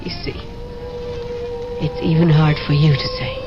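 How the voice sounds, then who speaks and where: A weary double agent. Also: female voice, low voice, fairly even intonation, a little slow, over music. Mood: resigned. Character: weary female double agent, young woman. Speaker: actor in radio drama. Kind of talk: 1970s radio drama acting. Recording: dull old radio recording.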